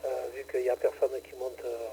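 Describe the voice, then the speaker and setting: A man's voice: medium pitch, completely flat delivery, moderate pace, vocal fry, dark tone, slight background noise, low-quality recording. A man running a ham radio net, chatting casually and hesitantly with many fillers, from southern France. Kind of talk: casual on-air radio chat.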